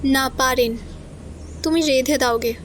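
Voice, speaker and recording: An actress playing a young widow who is tired and halting at first, then firm and resentful. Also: female voice, sits high, fairly bright tone, slight background noise. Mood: weary. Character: young widow, meek but defiant when pushed. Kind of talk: Bengali audio drama acting.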